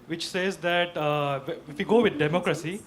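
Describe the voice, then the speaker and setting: A man's voice: on the high side, moderate pace. A man politely and hesitantly asking a question from the audience at a talk.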